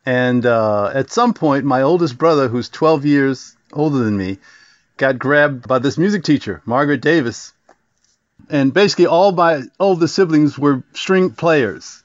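A man from Virginia who plays cello in an orchestra, reminiscing in a relaxed way in an interview. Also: mid-range voice, measured pace, dry recording, highs cut off. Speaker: orchestra cellist guest. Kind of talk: interview storytelling.

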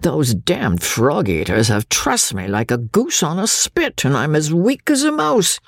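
A man voicing a languid, foppish English gentleman, weak but good-humoured, with a sleepy affected British drawl in a storybook. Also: a little high, huge pitch swings, a little slow, no echo, studio quality, heavily acted. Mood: amused, languid. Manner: affected, amused, lazily understated.

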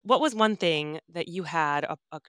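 Upbeat, smooth-voiced woman podcast host. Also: on the low side, expressive, normal speed, a bit bright, no room sound, clean studio sound. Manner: upbeat, affirming, chatty with many fillers. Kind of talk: casual interview.

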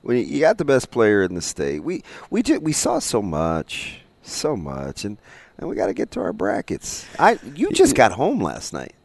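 A man's voice: medium pitch, normal speed, creaky, no room sound. A man co-hosting a casual sports talk show, joking and jumping from one thought to the next. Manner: joking, animated, jumps between thoughts. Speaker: radio co-host. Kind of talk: casual chat.

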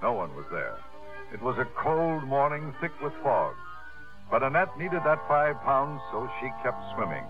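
A man's voice: mid-range voice, very animated, normal speed, natural voice. A man acting as narrator tells the story in dramatic 1950s radio style, his medium voice rising and falling widely. Music plays behind him on a narrow, tinny old broadcast.

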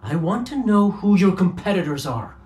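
A man's voice: high voice, big pitch swings, normal speed, a little mellow, poor audio. A man acting an irritable bank robber on the run in a comedic audio drama, blunt and sarcastic in delivery. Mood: fed up, determined.

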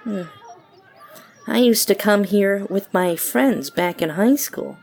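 A woman's voice: medium pitch, slow, darkish tone. A woman playing a weary, guarded, sceptical young woman in an audio drama, with a dry, curt, questioning manner. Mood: weary, reserved.

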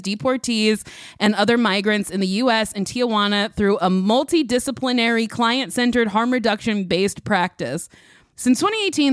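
A woman's voice: a little high, clean tone, no echo, clean studio sound. A woman podcast host reading scripted narration for an appeal in an earnest manner.

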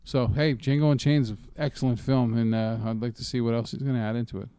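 A man's voice: medium pitch, steady tone, fast talker, warm tone, clear, resonant tone, no room sound. A man co-hosting a casual film news chat, talking in an informal, profane, rambling way. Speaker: movie podcast co-host.

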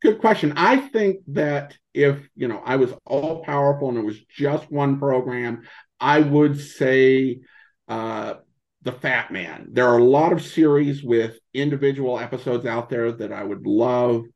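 American guy on a podcast, kinda gravelly, stops and starts while thinking, muffled lo-fi sound. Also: medium pitch. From United States, rural Montana in early childhood. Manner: halting, thinking aloud with many pauses. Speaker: podcast guest, old-time radio podcaster. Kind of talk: conversational interview answers.

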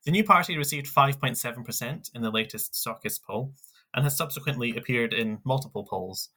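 A man co-hosting a scripted news roundup, reading headlines in a measured way with small asides. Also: mid-range voice, sharp tone. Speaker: news podcast co-host.

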